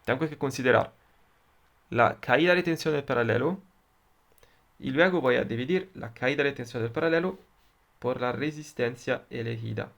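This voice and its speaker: A man with an Italian accent, a native Italian speaker, explaining electronics in a deliberate, halting way with frequent fillers. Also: medium pitch, gravelly, a bit bright. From Italy. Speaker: electronics tutor. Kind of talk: explaining circuits at a whiteboard.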